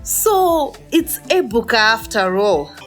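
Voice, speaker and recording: An actress playing an insistent, knowing confidante in naturalistic audio drama dialogue. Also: female voice, fairly high pitch, very animated, takes it slowly, fairly bright tone, soft background music, heavily acted. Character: probing, persuasive female confidante.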